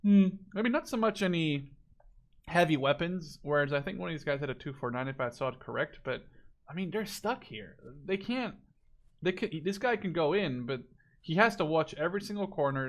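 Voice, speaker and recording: Higher-pitched man giving casual game commentary. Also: expressive, normal speed. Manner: informal, thinking aloud as he watches. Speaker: video game spectator commentator. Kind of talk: casual live match commentary.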